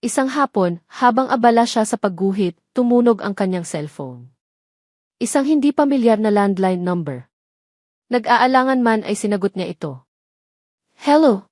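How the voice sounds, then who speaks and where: A woman's voice: mid-range voice, lively intonation, normal speed, a little mellow, dry recording. Woman narrating a romantic drama, very dry sound. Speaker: storyteller.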